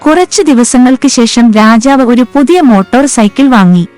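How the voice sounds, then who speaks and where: Higher-voiced woman narrating children's stories over music. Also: animated, moderate pace, darkish tone. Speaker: story narrator. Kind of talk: scripted children's moral story narration. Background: background music.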